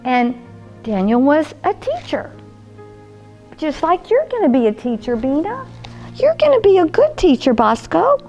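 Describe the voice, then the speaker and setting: An older woman with a high voice tells stories to small children at an unhurried pace. She coaxes them along in a sing-song, grandmotherly way, asking them questions as she goes. Music plays behind her. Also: wildly swooping pitch, warm tone, muffled recording. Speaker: children's storyteller and host.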